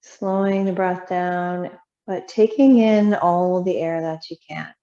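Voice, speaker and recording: Brief: female yoga instructor for guided relaxation, mellow and soothing, lowish pitch, gentle instructions with long pauses. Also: fairly even intonation. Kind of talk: guided meditation. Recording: roomy echo, slightly muffled sound.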